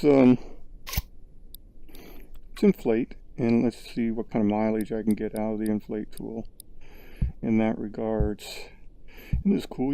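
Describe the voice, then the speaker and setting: A man narrating his VR sculpting session in a relaxed, rambling way, thinking aloud with lots of fillers, recorded on a headset's built-in mic. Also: mid-range voice, gravelly, low-quality recording. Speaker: VR sculpting creator. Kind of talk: casual tutorial narration.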